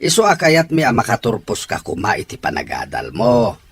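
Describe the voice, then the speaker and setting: A man's voice: mid-range voice, wildly swooping pitch, normal speed, husky, dry recording, heavily acted. A man playing a middle-aged father in a radio drama who lectures his daughter firmly and earnestly. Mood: stern. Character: stern but caring middle-aged father Primo.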